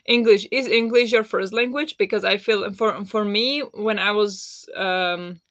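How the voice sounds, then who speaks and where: A woman chats easily on a book live stream, answering viewers with plenty of fillers and little laughs. Her voice sits at a middling pitch. The sound is dry and a bit dull, like a plain home setup. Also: fairly even intonation, measured pace, no room sound. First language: Slovak. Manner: chatty, warm replies to viewers with frequent fillers and laughs. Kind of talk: live-stream chat reading out comments.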